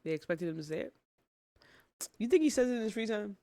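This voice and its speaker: A man's voice: high voice, animated, fast talker, dark tone, no echo. A man giving casual reaction commentary as a host, informal and full of rhetorical questions.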